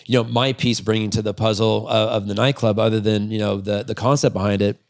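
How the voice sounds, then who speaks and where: A man's voice: lowish voice, steady tone, fast. Candid man telling stories on a podcast. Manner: candid, rambling, swears freely. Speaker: podcast guest, retired freestyle motocross rider and business owner. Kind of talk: casual long-form storytelling in an interview.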